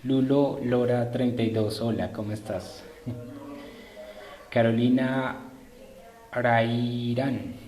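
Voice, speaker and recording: A man's voice: medium pitch, fairly even intonation, slow, pauses often, a little mellow, faint music behind. A man hosting a live stream, politely greeting and informing viewers in a hesitant, improvised way. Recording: roomy echo.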